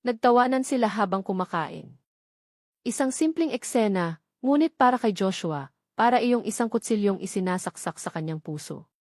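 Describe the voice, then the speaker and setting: A woman's voice: medium pitch, lively intonation, moderate pace, a little mellow, no room sound, studio quality. A woman narrating a scripted dramatic story as an audiobook narrator.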